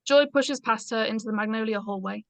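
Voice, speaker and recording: A woman's voice: on the high side, brisk, slight background noise. A woman reading stage directions aloud as scripted narration in a screenplay read-through.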